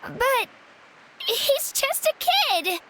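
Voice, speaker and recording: A cheerful young game girl. Her voice is bright and extremely high, emphatic and drawn out, with slight noise behind. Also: big pitch swings, extremely slow, full character voice, low-quality recording. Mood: puzzled. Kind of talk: anime game voice acting.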